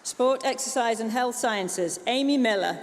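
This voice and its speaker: A woman presenting a graduation ceremony, reading out graduates' names one by one in a steady, measured way. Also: a little high, noisy background. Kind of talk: formal announcing of names. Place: large graduation hall. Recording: long hall reverb with loud crowd. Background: loud applause and crowd.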